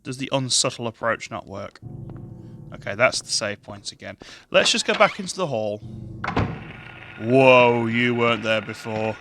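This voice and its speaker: A man with a very deep, bassy voice, hosting casual let's-play commentary on a gaming headset mic, chatty and self-deprecating. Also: measured pace, pauses often. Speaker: gaming channel host. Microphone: gaming headset with adjustable boom mic. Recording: game music playing under voice. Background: game music and sounds.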